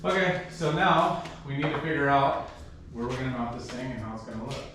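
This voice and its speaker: Male DIY host, casual and informal, mid-pitched, very roomy distant sound. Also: lively intonation, fairly quick. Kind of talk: casual hands-on project walkthrough. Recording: echoey, distant mic, faint background noise.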